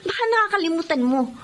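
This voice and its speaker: Casting: Filipino teen schoolgirl, high girlish voice, carefree and headstrong, radio drama. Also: a bit bright, slight background noise. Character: carefree, headstrong teenage schoolgirl, policeman's daughter.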